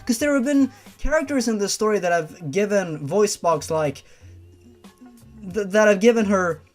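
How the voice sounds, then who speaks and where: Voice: medium pitch, normal speed, faint music behind. A host reading fan fiction aloud and breaking in with sarcastic, exasperated, sweary commentary. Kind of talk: story reading with mocking commentary.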